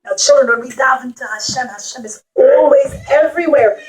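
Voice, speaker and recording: Female children's storyteller, high-pitched, teacherly and expressive, puppet-show narration with questions to young listeners. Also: a little fast, poor audio.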